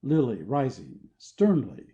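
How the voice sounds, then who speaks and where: Very dark-toned, expressive male narrator. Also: medium pitch, slow pace, dull audio. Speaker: narrator reading stage directions. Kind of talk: scripted narration.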